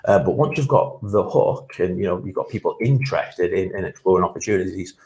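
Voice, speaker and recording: A man's voice: low voice, a little fast, a little mellow. A man speaking as a panel guest, conversational and emphatic about his views. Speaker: panel guest, talent acquisition manager. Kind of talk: panel discussion. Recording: echoey room.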